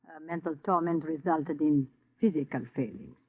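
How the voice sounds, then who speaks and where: An actress in a vintage radio drama playing a mysterious, guarded fortune-telling adviser, solemn, stilted and evasive. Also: female voice, sits low, normal speed, breathy edge, dry recording. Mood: guarded, cryptic. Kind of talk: 1940s-50s radio drama acting. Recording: muffled vintage radio broadcast recording.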